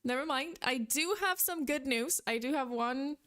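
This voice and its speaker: A woman's voice: high-pitched, normal speed, a bit bright. Rambling woman with a Polish accent. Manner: rambling, laughs often, many fillers. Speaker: VTuber commentator. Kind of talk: casual commentary.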